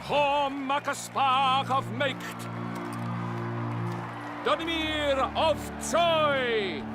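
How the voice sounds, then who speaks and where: High, florid tourney herald. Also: male voice, very slow, over music, full character voice. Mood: grand, proclaiming. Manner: pompous, declamatory, theatrical. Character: florid, pompous male herald bard proclaiming in rhyme. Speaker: tourney herald in a fantasy video game. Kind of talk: theatrical video game voice acting.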